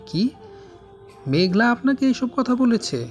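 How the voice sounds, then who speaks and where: A man's voice: very high-pitched, very animated, a little slow, voice pushed up, heavily acted. Earnest, pleading young woman played by a male narrator, storybook dialogue over faint music. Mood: startled. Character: earnest, apologetic young woman confessing her love.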